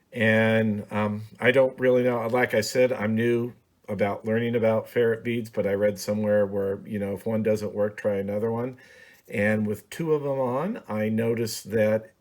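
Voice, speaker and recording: A middle-aged man casually explaining a hobby project in a home office, hesitant and plainspoken with frequent ums. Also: lowish voice. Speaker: radio hobby video host. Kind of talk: casual how-to demonstration.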